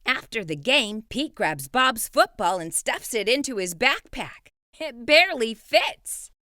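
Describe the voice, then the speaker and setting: Lively woman reading a kids' book. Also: a little high, measured pace, no room sound, studio quality. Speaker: children's book reader. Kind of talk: read-aloud storytelling.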